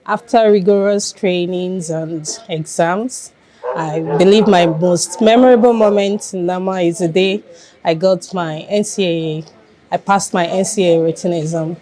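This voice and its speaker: A woman's voice: on the low side, fairly even intonation, unhurried, dark tone. A woman, an air traffic controller, giving an interview answer. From Nigeria.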